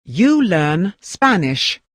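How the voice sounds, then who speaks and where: Slow, lively-pitched lesson voice. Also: no room sound. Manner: measured, deliberate repetition. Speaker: repeating voice in a language lesson.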